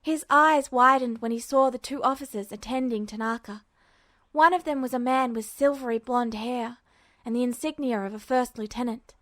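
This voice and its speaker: A woman reading fan fiction aloud as a podfic, with even, attentive storytelling narration. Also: on the high side, moderate pace, dry recording. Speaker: podfic reader.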